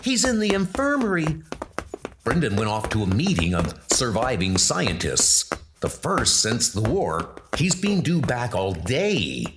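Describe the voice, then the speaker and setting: A man narrating a comic book in a dramatic, slow and emphatic way. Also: on the low side, very animated, background noise.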